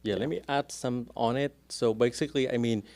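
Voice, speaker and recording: A man's voice: medium pitch, fairly even intonation, a little fast, a little mellow. A man with a strong Vietnamese accent, a real estate agent speaking as a guest in a casual interview, explaining things earnestly and step by step.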